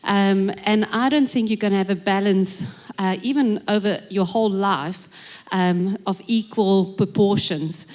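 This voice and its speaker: A thoughtful woman minister speaking on a church panel. She pauses with lots of ums, and her voice sits at a middle pitch. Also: darkish tone. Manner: thoughtful, hesitant with many ums. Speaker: executive minister. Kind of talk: panel conversation. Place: church stage at a live service.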